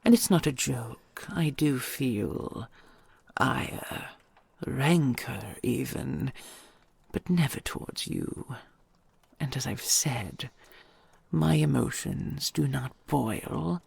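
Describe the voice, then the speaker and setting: Woman acting a husky, whispering dragon queen. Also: rumbling low, expressive, dry recording, full character voice. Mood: simmering jealousy. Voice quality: breathy, husky, creaky near-whisper. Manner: slow, regal, formal endearments with soft sighs. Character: possessive, doting ancient female dragon queen, tender and imperious. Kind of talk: intimate audio roleplay acting, whispered.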